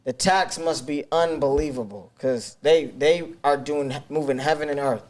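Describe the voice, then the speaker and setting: A man with a somewhat high voice co-hosting a sports podcast. He jokes and teases the others, often repeating his words as he goes. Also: normal speed, darkish tone. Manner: joking, teasing, repeats himself. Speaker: podcast co-host. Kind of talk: casual sports chat.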